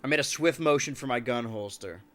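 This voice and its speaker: Stuffy-nosed guy streaming from home, joking around with his cats, bright tense voice, faint music behind. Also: mid-range voice, a little fast. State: stuffed-up nose. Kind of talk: casual live-stream chat.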